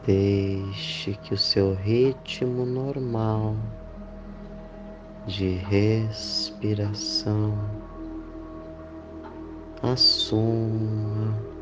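Very slow man guiding meditation. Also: fairly low pitch, steady tone. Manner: slow, deliberate phrases with long pauses. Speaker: meditation guide. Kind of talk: guided meditation. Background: clear background music.

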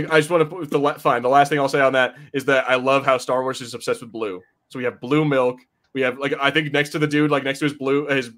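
A man's voice: medium pitch, a bit bright. A man hosting a pop-culture podcast, chatting informally and rambling, with casual swearing. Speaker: podcast host.

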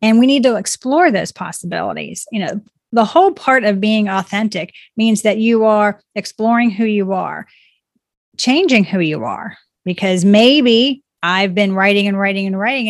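A woman's voice: fairly high pitch, dry recording, clean studio sound. A woman speaking as a guest in a conversation, earnest and encouraging, speaking in positive affirmations about personal growth. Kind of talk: reflective conversation.

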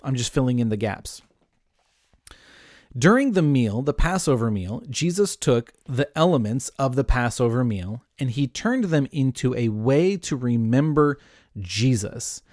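Young man, casual Bible teacher, chatty with quick asides, mid pitch, dry close mic. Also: lively intonation, darkish tone, no echo.

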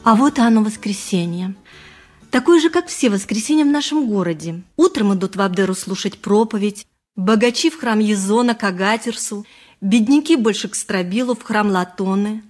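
An actress playing a simple, thoughtful milliner in a radio play, narrating and talking in an ingenuous, musing way. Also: female voice, mid-range voice, normal speed, darkish tone, faint music behind, natural voice. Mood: reflective.

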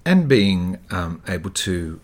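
Low-pitched male interviewer. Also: very animated, slow pace, a little mellow. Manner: curious, encouraging questioner. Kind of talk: interview conversation.

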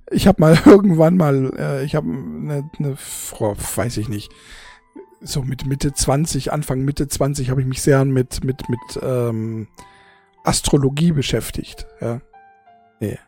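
Husky, creaky voice of a forty-year-old. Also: medium pitch, mellow, no room sound, soft background music. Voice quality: husky, gravelly. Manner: halting, repetitive, searching for words; emotional, blunt, swears casually. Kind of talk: unscripted casual monologue to an audience.

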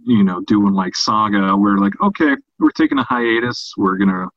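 A man co-hosting a casual podcast discussion about fiction, talking in a halting way with repeated and restarted phrases. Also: fairly low pitch. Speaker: podcast co-host. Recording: dull, roomy lo-fi sound.

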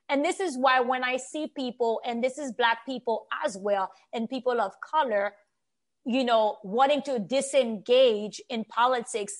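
A woman from Haiti speaks in a fairly high voice. She talks conversationally, laying out her views as part of a discussion. Her delivery is earnest and emphatic. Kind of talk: conversational opinion talk.

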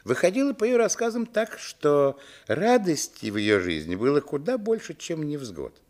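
A man's voice: fairly high pitch, huge pitch swings, moderate pace, darkish tone. An actor playing a grown man recalling his village boyhood, narrating a radio play in a reflective storytelling manner. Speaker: narrator telling his childhood. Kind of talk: dramatised literary narration.

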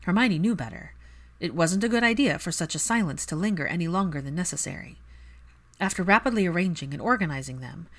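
Woman, story narrator, scripted reading, lower-than-average pitch, animated melody. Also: quick pace. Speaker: audiobook narrator.

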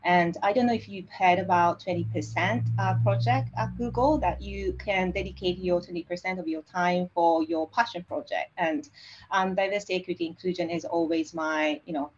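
A woman from Japan with a Japanese accent, a guest in an interview, speaking from her home office in a halting way with many fillers and restarts. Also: on the low side, steady tone, slight background noise. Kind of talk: conversational interview. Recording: dull lo-fi audio.

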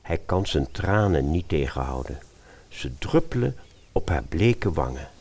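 A man's voice: very deep voice, big pitch swings, measured pace, a little mellow, slightly rough. A man narrating a radio fairy tale in a dramatic storytelling style.

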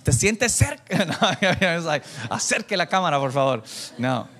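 A man preaching informally to a church congregation, animated and self-deprecating, bantering with listeners and dropping in English phrases. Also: on the high side, a little slow. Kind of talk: informal conversational sermon with anecdotes.

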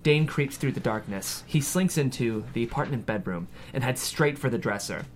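A man's voice: mid-range voice, lively intonation, normal speed, slight background noise. A man reading scripted screenplay stage directions as the narrator of a table read.

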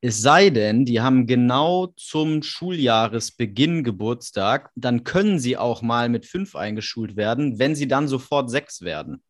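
A man's voice: medium pitch, expressive, measured pace, few pauses. A man in his thirties hosting a casual interview over a video call, chatty and curious. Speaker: podcast host.

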